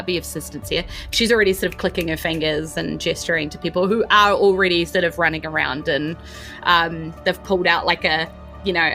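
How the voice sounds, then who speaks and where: A New Zealand woman runs a tabletop game in a lowish voice. Her narration is casual and rambles along, with music playing behind her. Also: pushed and bright. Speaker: game master.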